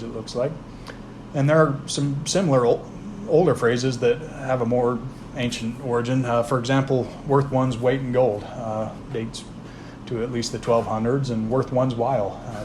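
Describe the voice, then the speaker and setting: A man's voice: medium pitch, normal speed. Guy explaining where phrases come from, laid back, lots of uh's, some noise in the background. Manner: relaxed, thoughtful, frequent "uh" fillers and restarts. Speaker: host explaining word origins. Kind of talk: casual spoken lesson.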